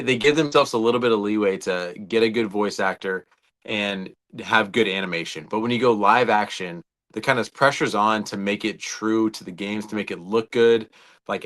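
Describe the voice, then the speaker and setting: A man co-hosting a casual fan talk show, informal and a little halting as he thinks out loud. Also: fairly low pitch. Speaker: co-host of a video game fan show. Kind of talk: casual conversational film discussion.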